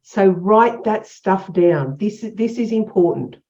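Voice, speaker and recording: A woman with an Australian accent leading an online workshop as a coach, energetic and encouraging, asking many questions. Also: medium pitch, slow, highs cut off. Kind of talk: interactive online workshop teaching. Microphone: video call.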